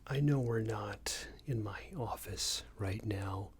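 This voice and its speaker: A man playing a smarmy, passive-aggressive company boss in a soft-spoken ASMR roleplay, hesitant and condescending, often half-whispered. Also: lowish voice, slow pace, full character voice. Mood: falsely friendly. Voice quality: breathy, half-whispered, creaky. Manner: hesitant, hedging, condescending, rambling corporate small talk.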